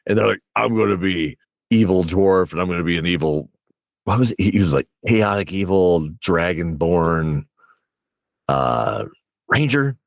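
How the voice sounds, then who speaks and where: A man's voice: sits low, expressive, normal speed, vocal fry, no room sound. A man chatting casually as a game master, rambling through campaign stories and acting out what his players said. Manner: rambling, acts out players' reactions in quotes. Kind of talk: casual animated storytelling chat.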